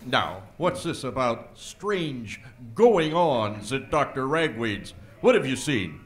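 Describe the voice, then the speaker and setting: A man playing a laid-back small-town male sheriff with an unhurried, drawling way of talking. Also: mid-range voice, sing-song. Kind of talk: comic radio play acting.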